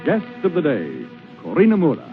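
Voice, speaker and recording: A man's voice: fairly high pitch, wildly swooping pitch, a little fast, loud music behind the voice. A man working as a polished 1940s radio announcer, reading scripted commercial copy in a salesmanlike way. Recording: noisy narrow-band 1940s radio recording.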